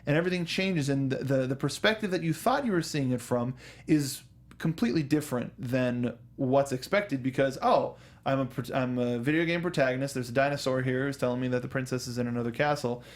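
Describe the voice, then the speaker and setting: A man's voice: medium pitch, brisk. A man hosting a casual talk show about films and games, explaining things with asides and acted-out imagined lines. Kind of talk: casual film and video game discussion.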